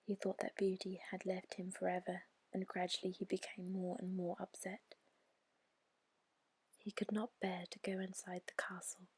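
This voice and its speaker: A woman whispers a fairy tale as ASMR. Her voice is extremely breathy, with almost no full tone. She reads softly and soothingly, keeping the pitch very even and unhurried. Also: no echo. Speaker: storyteller, ASMR channel host. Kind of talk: whispered ASMR bedtime story reading.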